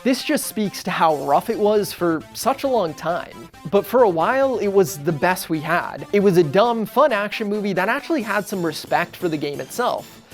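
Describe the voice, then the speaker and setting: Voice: mid-range voice, lively intonation, moderate pace, hardly any pauses. A host narrating a scripted video essay in a casual, conversational way. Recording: music under the voice. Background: background music.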